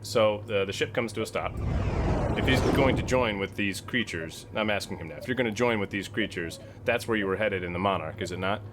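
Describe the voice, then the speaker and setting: Casting: low male player, firm and deliberate, clear hiss in the background. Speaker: player and editor. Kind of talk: tabletop game play.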